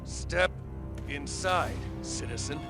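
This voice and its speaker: A pushy government attendant in a video game. His voice is high, slow and coaxing, with a commanding edge. Loud music plays behind. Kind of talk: video game cutscene acting.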